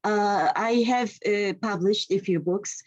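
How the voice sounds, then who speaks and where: A woman in her sixties with a Turkish accent, a poet who speaks hesitantly with many fillers before reading in a measured way over a video call. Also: mid-range voice, muffled recording. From Turkey. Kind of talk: introducing herself and reading a poem.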